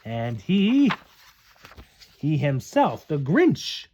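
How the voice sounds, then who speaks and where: A man's voice: fairly high pitch, wildly swooping pitch, extremely slow, darkish tone. A man reading a children's storybook aloud to a small child in a dramatic, playful way.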